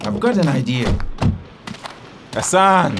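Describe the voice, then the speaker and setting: A high-pitched, scrappy older sailor. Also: male voice, very animated, takes it slowly, noisy background. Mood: scornful, needling. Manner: taunting, needling. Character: older male sailor crewman, scrappy, sly, foul-mouthed.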